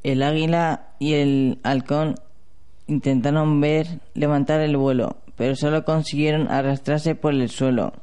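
A man's voice: on the high side, fairly even intonation, measured pace, mellow, clear, resonant tone. A man narrating a legend in an amateur radio play, reading aloud steadily. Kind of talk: read storybook narration.

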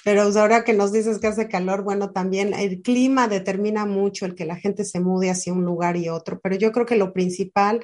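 A woman's voice: mid-range voice, steady tone, brisk, hardly any pauses, darkish tone. A woman hosting an interview, asking questions and affirming often. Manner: attentive, frequent affirming interjections.

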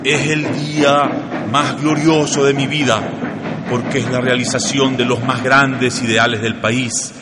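A man plays a proud general in a radio drama, declaiming solemnly. His mid-pitched voice sits in very loud ambient noise. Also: level tone, unhurried, close to an everyday voice. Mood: solemn pride. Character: solemn, proud revolutionary general giving a speech.

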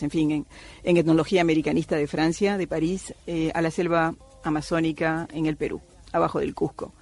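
A woman's voice: low-pitched, a little mellow. A middle-aged woman from Cuba, an anthropologist, speaking thoughtfully with many hesitations in a radio interview. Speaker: anthropologist guest.

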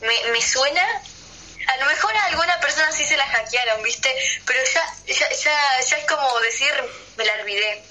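Female co-host, young, extremely bright high voice, opinionated slangy chat, light noise. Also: moderate pace, low-quality recording. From Argentina. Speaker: radio show co-host. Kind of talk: casual group chat on a radio show.

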